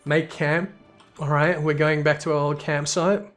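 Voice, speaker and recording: A man's voice: fairly high pitch, fairly even intonation, a little slow, a bit bright. A man giving casual, sweary gameplay commentary, reacting aloud in an irreverent way. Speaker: let's player reading game dialogue aloud. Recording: music behind the voice. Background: game music.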